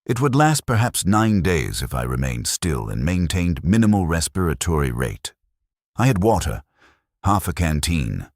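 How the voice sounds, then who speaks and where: A man narrating as a stoic, detached soldier in a scripted sci-fi story, speaking in precise, deadpan, short clipped sentences. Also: low-pitched, normal speed, dry recording, clean studio sound, exaggerated voice. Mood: detached, grim. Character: stoic, detached male soldier recounting being buried alive.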